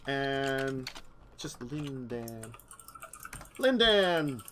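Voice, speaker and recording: Guy gm rambling thru a vampire game, slow talker, faint keyboard clicks behind. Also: mid-range voice, very animated, a bit bright, slight background noise. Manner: casual, rambling, many fillers. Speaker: game master. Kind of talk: narrating a tabletop vampire game.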